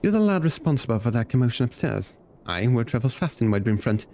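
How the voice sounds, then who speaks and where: A man's voice: medium pitch, big pitch swings, fast, darkish tone, a little hiss or hum. A man reading game dialogue aloud as a plain-spoken, hearty garrison cook in a fantasy game, with folksy old-fashioned phrasing. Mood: hearty, persuasive.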